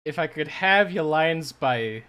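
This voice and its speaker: A man chatting casually as a commentator and video editor, in a hesitant, halting manner. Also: a little high, expressive, pushed and bright, clean tone. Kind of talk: casual live chat.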